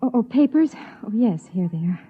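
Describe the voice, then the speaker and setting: A woman's voice: on the high side, expressive, moderate pace, breathy edge. An actress playing an uneasy woman passenger hiding a secret, in a 1950s radio drama. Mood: nervous. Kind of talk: 1950s radio drama, second-person narration. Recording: muffled, tinny 1950s radio recording.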